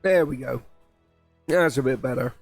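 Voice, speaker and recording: A man chatting casually on a live stream, his voice a little croaky, rambling with restarts and sounding excited about his plans. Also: mid-range voice, normal speed, dry recording, faint music behind. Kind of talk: casual live-stream chat while building.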